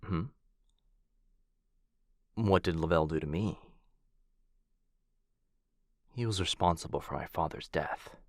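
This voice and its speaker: A man playing a gentle, earnest vampire aristocrat in an intimate ASMR roleplay, speaking softly and formally with tender, hushed dips. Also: deep voice, normal speed, no echo, studio quality. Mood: bitter, restrained.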